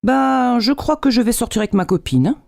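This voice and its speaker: A woman acting out everyday scripted dialogues for language learners, speaking clearly and deliberately. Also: fairly high pitch, lively intonation, darkish tone, no room sound, clean studio sound.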